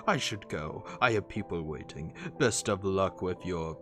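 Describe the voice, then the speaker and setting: A man acts out a scheming robot warlord with a low voice. He is smug and mock-polite, a comic dub villain, with light music behind. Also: expressive, measured pace. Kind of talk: fan comic dub voice acting.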